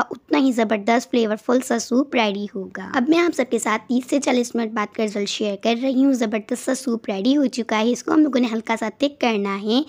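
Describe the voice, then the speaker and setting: A young woman walks viewers through a home recipe in a chatty, instructive voice-over. Her voice sits a little higher than most women's. She talks to her audience like a group of friends in her kitchen. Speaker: cooking channel host.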